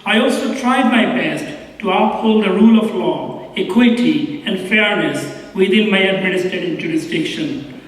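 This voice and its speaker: A Bangladeshi man in his fifties giving a formal graduation address in a large auditorium, with a measured, deliberate way of telling his story. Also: sits high. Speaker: commencement speaker. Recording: echoey hall from far off.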